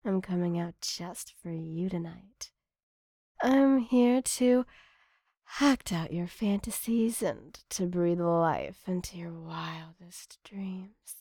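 Mellow, slow, seductive woman, often whispering. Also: on the low side, no echo, studio quality. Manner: breathy seductive murmur, often dropping into whispers. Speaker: erotic audio performer. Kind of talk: erotic ASMR audio addressed to the listener.

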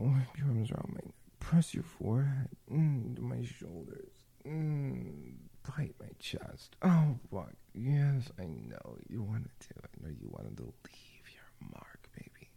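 A man speaking in an intimate, whispered audio roleplay, breathy and murmuring, with frequent sighs. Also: mid-range voice, big pitch swings, slow pace, dark tone.

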